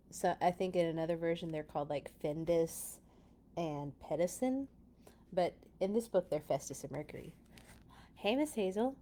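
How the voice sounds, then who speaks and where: A woman's voice: fairly low pitch, mellow, slight background noise. A woman hosting a children's read-aloud, speaking informally and chatting in asides to her listeners between passages. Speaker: children's storytime host.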